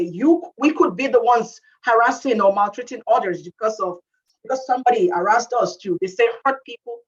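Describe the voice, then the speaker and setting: A woman's voice: fairly high pitch, fairly bright tone. A woman with an accent, giving earnest advice to young people as a guest speaker on a video call, often repeating her phrases. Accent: non-native English. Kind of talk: advice talk to youth. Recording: distant, muffled video-call audio.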